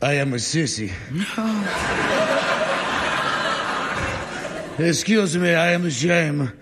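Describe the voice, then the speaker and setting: An actor playing a proud, hot-tempered truck driver with a Sicilian Italian accent, who speaks broken English and swings from shouting to sobbing. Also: male voice, on the high side, normal speed, in a large hall, very noisy, close to an everyday voice. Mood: subdued. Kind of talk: 1950s film drama acting.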